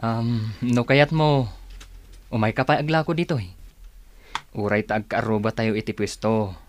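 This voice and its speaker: A man's voice: lowish voice, moderate pace, slight background noise, natural voice. A man with a Filipino accent playing a practical, friendly market vendor, easygoing and straightforward, in a radio drama. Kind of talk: Ilocano radio drama acting. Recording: faint music behind the voice.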